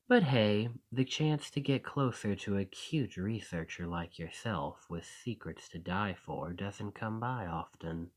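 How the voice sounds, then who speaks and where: A man voicing a possessive, sinister shapeshifter monster in an intimate ASMR roleplay, speaking in a coaxing, deliberate, softly menacing way. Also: lowish voice. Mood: smug, teasing.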